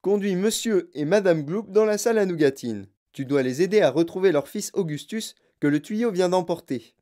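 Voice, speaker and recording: Flamboyant male factory owner for a storybook acted by a narrator: higher voice, lively swings, mischievous. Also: moderate pace, lightly acted. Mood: brisk instructing. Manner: animated, emphatic, mischievous.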